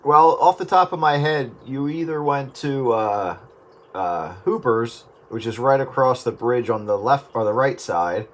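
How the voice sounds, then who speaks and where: A man's voice: medium pitch, expressive, unhurried. A man in casual radio chat, explaining things in a laid-back, meandering way. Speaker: radio round-up participant.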